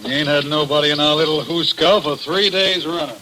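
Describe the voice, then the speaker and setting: Needling male small-town jailer, acted by a man: highish voice, slangy, grasping, noisy 1940s radio drama. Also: fairly quick, lightly acted. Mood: mocking. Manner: folksy, needling, ungrammatical slang. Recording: noisy vintage radio recording.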